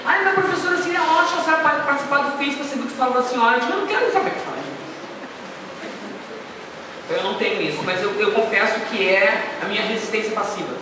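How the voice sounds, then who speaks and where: A woman's voice: on the high side, very fast. Gaúcha woman lecturing in an echoey hall. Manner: chatty, anecdotal, with self-deprecating asides. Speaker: guest speaker. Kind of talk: informal lecture. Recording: echoey hall, speaker far from the mic, noisy.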